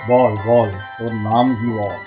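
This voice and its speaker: A man's voice: medium pitch, little pitch movement, measured pace, natural voice. A man voicing a cartoon wandering holy man who talks in a fatherly, lofty way. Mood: lofty, benevolent. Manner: speaks condescendingly to villagers as children. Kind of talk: cartoon voice acting. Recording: dull sound with music behind.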